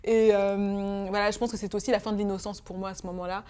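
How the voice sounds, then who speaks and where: A woman's voice: mid-range voice, flat delivery, fast, warm tone, clean tone. A young woman in her twenties from Congo, speaking as a guest in an emotional interview, hesitant and full of fillers. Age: 20s. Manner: hesitant, many fillers and restarts.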